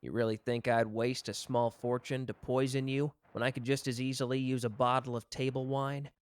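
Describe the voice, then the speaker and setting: A man's voice: medium pitch, little pitch movement, moderate pace, clear, resonant tone, lightly acted. A man acting a suave, teasing young mafia executive with a love of fine wine, speaking playfully to the listener in a roleplay audio. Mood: mildly exasperated.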